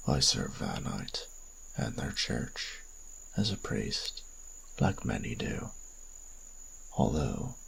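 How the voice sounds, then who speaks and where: A man playing a formal, stern vampire priest in an audio drama, speaking in measured, archaic phrases with a raspy, breathy voice. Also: pushed and bright, exaggerated voice, poor audio. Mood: cool, formal. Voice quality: raspy, gravelly, breathy low voice. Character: formal vampire priest, male agent of a church, stern and condescending. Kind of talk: scripted audio roleplay drama.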